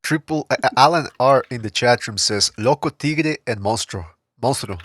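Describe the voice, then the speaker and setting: A laid-back male podcast host taking callers and reading out chat comments, easygoing and quick to laugh. The sound is dry and close, with keyboard typing behind him. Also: mid-range voice, fairly bright tone, no room sound. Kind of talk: casual call-in show hosting.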